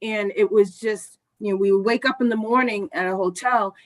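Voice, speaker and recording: A woman's voice: medium pitch, fairly even intonation, normal speed. Earnest woman telling a travel story. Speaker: co-host. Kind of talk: casual storytelling chat.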